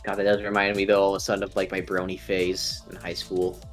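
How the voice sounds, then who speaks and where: A man's voice: low-pitched, monotone, fast talker, soft background music. Nasal young man chatting loosely. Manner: rambling, many ums and restarts. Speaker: stream co-host. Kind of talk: casual chat on a live stream.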